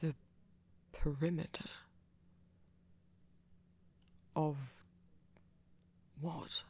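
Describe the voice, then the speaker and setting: Performer acting a breathy, flirty vampire fledgling, low and slow. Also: flat delivery, dark tone, heavily acted. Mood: curious, wary. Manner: formal, careful phrasing, teasing. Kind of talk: breathy ASMR roleplay acting.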